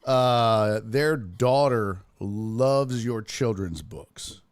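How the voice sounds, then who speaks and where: A man's voice: medium pitch, very slow, no room sound, studio quality. A man hosting a casual interview show, talking in slangy, profane, buddy-to-buddy style. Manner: profane, slangy, addresses guest as dude. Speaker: talk show host and comic.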